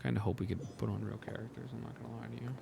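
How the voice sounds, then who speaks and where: Creaky-voiced young male game streamer. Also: mid-range voice, fairly even intonation, rapid-fire, warm tone, slight background noise. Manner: slangy, muttering asides, repeats phrases. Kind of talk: casual live gameplay reaction commentary.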